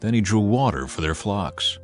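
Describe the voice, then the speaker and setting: A man reading scripted Bible narration in a measured, expressive storytelling manner. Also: fairly low pitch. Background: background music.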